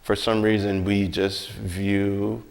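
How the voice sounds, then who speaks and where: A man's voice: lowish voice, level tone, takes it slowly, creaky, a little mellow. A man in casual, reflective conversation, telling his experiences emphatically and often checking in with rhetorical questions. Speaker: school bus monitor sharing experiences.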